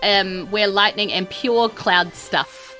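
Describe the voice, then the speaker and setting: A woman's voice: mid-range voice, takes it slowly, bright, forceful tone, soft background music, rough recording. A woman with a New Zealand accent, narrating a tabletop game as game master in an informal, conversational way. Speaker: game master, podcast host.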